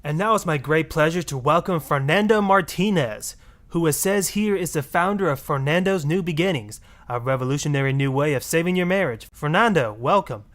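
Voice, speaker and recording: A man voicing a sarcastic, exasperated talk radio host with snarky, brisk patter in a satirical game radio style. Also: fairly high pitch, expressive, fairly bright tone. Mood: wry, announcing.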